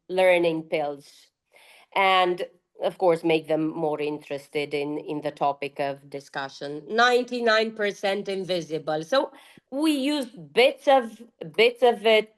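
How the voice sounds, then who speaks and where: Slow, hesitant woman teacher. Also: mid-range voice. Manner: hesitant, with repeated restarts. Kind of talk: panel discussion answer. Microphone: online video call.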